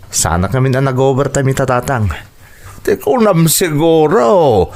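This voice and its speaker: A man's voice: medium pitch, a little hiss or hum. An actor with an Ilocano accent playing a troubled man of a family in an earnest, emotive radio drama style. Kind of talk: Filipino radio drama acting.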